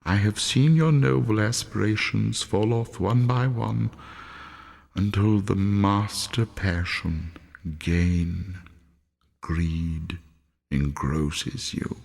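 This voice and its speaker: Man voicing a soft sorrowful young woman, slow. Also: lowish voice, steady tone, creaky, big-room sound, full character voice. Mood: sad reproach. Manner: soft, grave. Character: gentle, sorrowful young woman releasing her betrothed.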